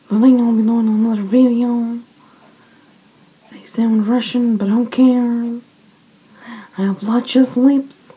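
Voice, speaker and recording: A teenage girl voicing a loud, exaggerated female vlogger character. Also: on the high side, breathy edge, exaggerated voice, low-quality recording.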